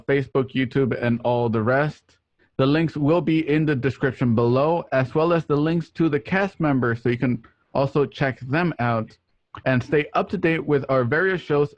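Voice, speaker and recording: A man's voice: medium pitch, no echo. A man hosting a radio show, presenting the intro in an announcer-like way. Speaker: host. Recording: dull lo-fi home recording.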